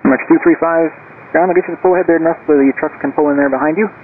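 A man's voice: a little high, fairly even intonation, rapid-fire. A man working as an airport ground controller, giving air traffic instructions over aviation radio. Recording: tinny, noisy aviation radio channel.

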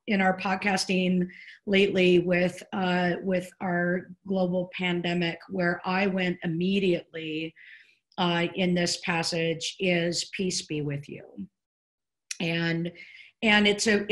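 A middle-aged woman co-hosting a podcast about preaching, talking in an earnest, reflective way from home over a video call. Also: lowish voice. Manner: earnest, reflective, gestures with hands while talking. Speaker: podcast co-host discussing preaching. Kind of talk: casual podcast discussion.

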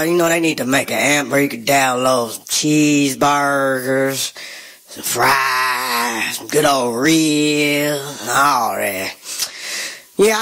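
A man co-hosting a radio show talks through a casual promo spot. His delivery is unhurried and drawling, with a bright, forward edge to his voice. He keeps it loose and joking rather than polished. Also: medium pitch. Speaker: radio co-host.

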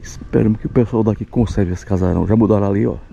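Guy vlogging out on a village street, low gravelly voice, exclaims a lot. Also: fairly even intonation, very fast, mellow, muffled recording, slight background noise. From Pernambuco, Brazil. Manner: exclaiming, talks to viewers. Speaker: travel vlogger.

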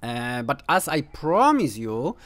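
A man's voice: medium pitch, big pitch swings, fairly bright tone. A man in his thirties with a Polish accent, a native Polish speaker, casually commentating a chess game to camera from a room at home. He talks unhurriedly with lots of "uh" fillers and playful asides. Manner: unhurried, thinking aloud with many uh fillers, playful teasing asides. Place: home room with bookshelves, indoors.

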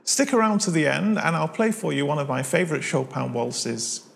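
A man's voice: a little high, moderate pace, gravelly. A man in his forties from Manchester with a Mancunian accent, chatting to camera about his piano hobby as host of his channel, recorded on a lapel mic in a living room. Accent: Mancunian English. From Manchester, England. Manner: chatty, self-deprecating, friendly storytelling. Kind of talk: casual talk to camera.

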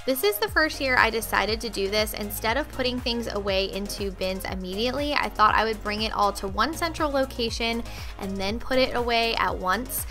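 A woman's voice: on the high side, normal speed, pushed and bright, faint music behind. A young woman narrating her cleaning vlog in a friendly, chatty voice-over, talking straight to her viewers. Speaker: cleaning vlogger.

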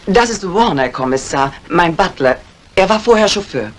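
A woman's voice: lowish voice, expressive, moderate pace, lightly acted. An actress playing a composed, dignified older lady of the house in a 1950s German radio mystery, poised and matter-of-fact. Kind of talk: 1950s German radio crime drama acting. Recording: faintly noisy vintage radio drama recording.

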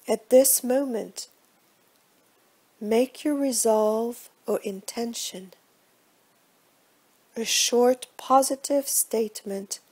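A woman leads a guided relaxation practice as a meditation teacher. Her voice sits a little high and is breathy and soft. She speaks very slowly and in measured phrases, often letting the ends trail into a hush. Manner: slow, measured, hushed delivery with soft breathy phrase endings. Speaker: yoga nidra meditation guide.

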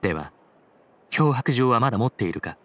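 A man playing a solemn, dignified chief judge in a Japanese game dub, speaking in formal, measured, stiffly courteous phrases. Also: medium pitch, expressive, no echo, close to an everyday voice. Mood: calm, serious. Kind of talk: Japanese anime game voice acting. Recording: music behind the voice. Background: game background music.

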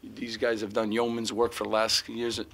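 A middle-aged man fields questions at a postgame press conference, his voice bright and forward. He talks into a table mic, circling his points with frequent fillers and false starts. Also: on the low side, monotone, moderate pace, gravelly, breathy edge. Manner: rambling, frequent "you know" fillers and restarts. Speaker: head basketball coach. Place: press room in front of sponsor backdrop. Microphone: table mic in front of him.

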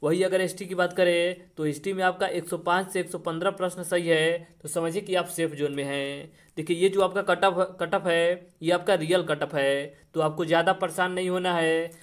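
Higher-pitched man explaining. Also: steady tone, moderate pace, hardly any pauses. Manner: repetitive question-and-answer explaining. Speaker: exam coaching teacher. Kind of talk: explanatory online exam-update lesson.

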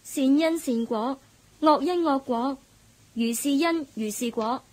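A woman's voice: sits high, slow, a little mellow, poor audio. A meek contrite schoolgirl. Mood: subdued. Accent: Hong Kong Cantonese. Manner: meek, subdued.

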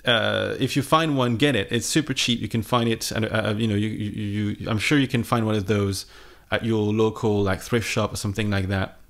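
A man's voice: lowish voice, steady tone, moderate pace, gravelly, few pauses, fairly bright tone. A man in his thirties chatting casually and unscripted, like a music vlogger talking to camera from his home studio. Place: small home studio room indoors. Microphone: microphone on a boom arm, close.

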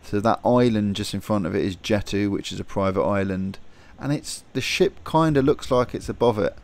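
Man, lowish pitch with some fry; relaxed, chatty vlog host filming outside on a phone. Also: moderate pace. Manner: relaxed, chatty, thinking aloud with fillers. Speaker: vlogger. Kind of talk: casual vlog narration.

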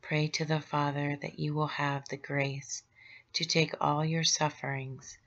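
A woman speaking as a gentle, grieving mother of Jesus in a tender, slow and solemn devotional meditation. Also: low voice, flat delivery, dull audio. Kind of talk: devotional meditation read aloud.

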